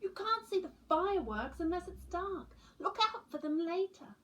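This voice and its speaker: A woman's voice: extremely high pitch, lively intonation, moderate pace, a little hiss or hum, pitched above the performer's own voice. A woman reading a storybook as a friendly little boy, enthusiastic and emphatic. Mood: excited.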